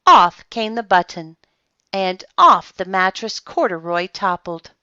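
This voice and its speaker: A woman reading a children's story aloud, with dramatic pauses and deliberate emphasis. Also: mid-range voice, sing-song, sharp tone, dry recording, dull audio. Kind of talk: expressive children's storybook narration.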